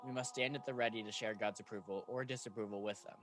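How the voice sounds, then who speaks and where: A man's voice: on the low side, steady tone, fast talker, over loud music, lightly acted, low-quality recording. A man playing a stern, dutiful archangel in a radio play, speaking earnestly and solemnly as he instructs. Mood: authoritative.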